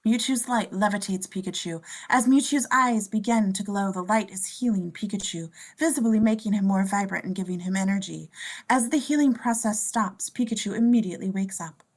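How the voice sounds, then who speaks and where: A woman's voice: mid-range voice, brisk, low-quality recording. A woman reading scene descriptions as narrator in a table read of a script.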